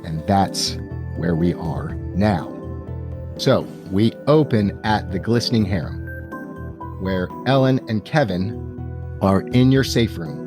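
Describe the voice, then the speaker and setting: A man narrating a tabletop role-playing game as game master, with theatrical storytelling and playful slang. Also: fairly low pitch. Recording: music playing under the voice.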